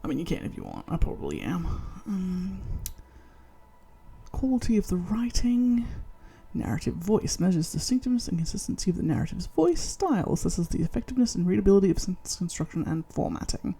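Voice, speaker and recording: An Australian woman with a low, gravelly voice chats casually as she scores her own story. Her tone is dark and husky, and her pitch swings widely as she talks through her thoughts. She sounds self-deprecating and chatty. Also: big pitch swings, a little fast. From Tasmania, Australia. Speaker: vlogger. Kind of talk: casual self-rating chat.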